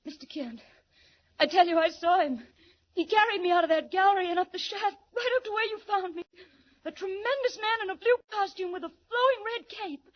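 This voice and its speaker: A very high-voiced young woman in distress, earnest and excitable, in 1940s radio serial style. The recording is muffled and old. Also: fairly quick. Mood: amazed, earnest.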